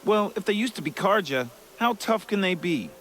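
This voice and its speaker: A man playing a cocky, slow-witted soldier in a video game, blunt and boisterous. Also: high voice, expressive, fairly bright tone, a little hiss or hum. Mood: cocky, puzzled. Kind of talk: video game cutscene acting.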